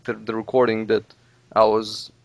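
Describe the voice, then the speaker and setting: A man's voice: lowish voice, completely flat delivery, moderate pace, vocal fry, dry recording. Earnest man, native Russian speaker, dry sound. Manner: earnest, advice-giving, peppered with "you know". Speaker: Let's Play video maker. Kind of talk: casual podcast interview.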